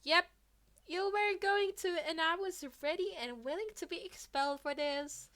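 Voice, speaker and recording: A woman's voice: very high voice, measured pace, fairly bright tone, voice pushed up. A woman reading fan fiction as an earnest, cheeky teenage boy hero student who sounds apologetic yet brazen.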